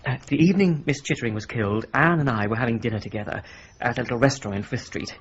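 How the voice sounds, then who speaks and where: A man's voice: mid-range voice, quick pace, lo-fi sound, slight background noise, lightly acted. An actor playing a flustered young man who stammers apologetically under police questioning, in a radio drama.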